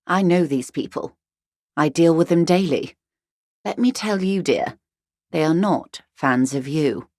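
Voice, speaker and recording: A woman voicing a poised upper-class older woman in a video game, measured and articulate, with dryly ironic, condescending politeness. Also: deep voice, no room sound, clean studio sound. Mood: composed, courteous. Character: poised, wry upper-class older woman, unrepentant ultra-liberal negotiator. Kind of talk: video game character dialogue.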